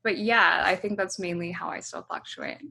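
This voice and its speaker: A woman in her thirties who grew up in Hong Kong, a musician answering interview questions in a relaxed way, thinking aloud with frequent ums and likes. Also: fairly low pitch, moderate pace, vocal fry, slightly rough.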